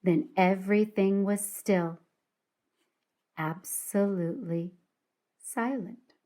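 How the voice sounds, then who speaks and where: Slow-paced woman reading a children's story. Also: medium pitch, mellow. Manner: deliberate, dramatic storytelling for children. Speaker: teacher reading a children's book aloud. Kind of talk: expressive read-aloud story narration.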